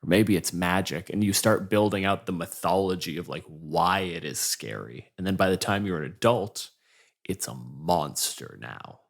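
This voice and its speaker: A man's voice: deep voice, normal speed, gravelly. A man co-hosting a podcast in casual discussion, with a blunt, wry and sweary way of talking. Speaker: podcast co-host.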